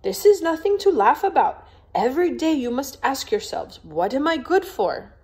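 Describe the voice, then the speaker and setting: A woman's voice: high-pitched, sing-song, moderate pace, darkish tone, voice pushed up. Woman doing a snooty proper young lady from a storybook, scolding, easily offended, voice swings up and down a lot. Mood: impatient, lecturing. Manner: prim, formal, scolding.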